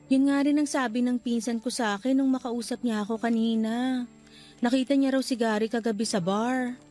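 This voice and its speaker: A woman plays a sweet young office worker in a radio drama. Her voice is high and her manner friendly and polite, with faint music underneath. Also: fairly even intonation, normal speed, mellow, lightly acted. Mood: evasive, uneasy.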